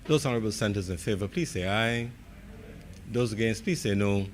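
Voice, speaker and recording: Low-voiced man presiding formally. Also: a little fast, slight background noise. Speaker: presiding officer of the Senate. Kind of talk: formal procedural announcements. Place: legislative chamber.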